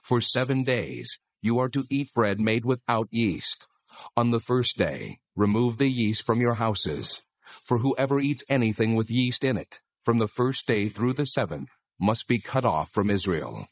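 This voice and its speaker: A man playing God as a solemn, authoritative deity, giving measured instructions in a dramatized scripture reading. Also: medium pitch, animated, no room sound, studio quality. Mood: solemn, commanding.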